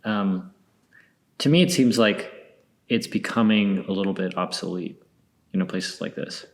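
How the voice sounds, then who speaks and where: A man hosting a podcast, musing in a relaxed, casual way with many "like"s and "you know"s, inside an empty church. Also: deep voice, measured pace, gravelly. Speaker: podcast host. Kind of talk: casual conversation. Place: large empty church sanctuary.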